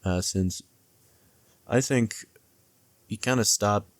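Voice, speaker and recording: A man's voice: fairly low pitch, takes it slowly, no echo. A man giving casual video commentary, hesitant and rambling, often correcting himself mid-thought. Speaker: video essayist reviewing and theorizing about a horror series. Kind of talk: casual, loosely scripted commentary.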